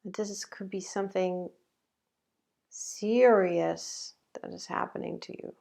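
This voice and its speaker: Slow, creaky-voiced woman guiding meditation. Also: medium pitch, fairly even intonation, darkish tone. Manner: slow, halting, with long pauses. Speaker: meditation guide. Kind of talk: guided meditation.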